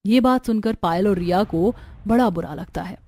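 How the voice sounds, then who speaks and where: A woman's voice: medium pitch, moderate pace, warm tone. A woman giving scripted narration of a simple cartoon family story.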